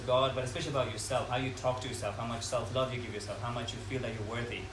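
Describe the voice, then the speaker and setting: A man in his thirties from Ecuador, giving an earnest, unhurried talk to a live audience and listing ideas one by one. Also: medium pitch. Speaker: speaker at a talk. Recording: echoey room from far off, noisy.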